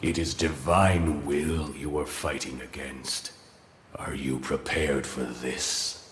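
A man's voice: extremely low pitch, level tone, slow pace, fairly bright tone, exaggerated voice. An actor playing a huge, implacable male god, ominous and portentous, in a video game. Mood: menacing. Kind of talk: dramatic video game acting. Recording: echoing voice with faint noise.

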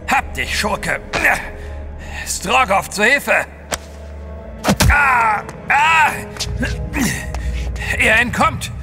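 An actor playing a composed, resolute gentleman adventurer in an audio drama, speaking with emphatic solemnity. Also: very high-pitched, lively intonation, very slow, long pauses, long reverb tail, music in the background, full character voice. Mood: urgent. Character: resolute, level-headed gentleman adventurer hero.

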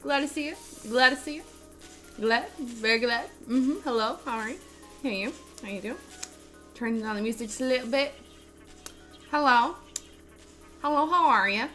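A woman with a raspy voice hosting a casual cooking livestream, bubbly and laughing as she greets viewers one by one. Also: on the high side, normal speed, pauses often, sharp tone. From Texas. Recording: faint music behind the voice. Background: faint background music.

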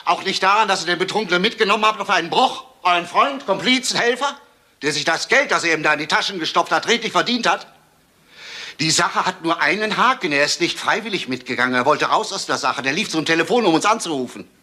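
A man's voice: high-pitched, lively intonation, fast talker, sharp tone, exaggerated voice. A man playing a relentless, sarcastic police inspector in a crime radio drama, firing insistent questions. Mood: forceful, pressing.

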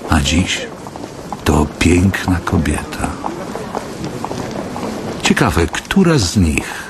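An actor playing an awkward, self-absorbed writer who musingly and haltingly tells of a postwar reunion in a radio drama. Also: male voice, low voice. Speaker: narrator and lead character. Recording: clear background ambience noise.

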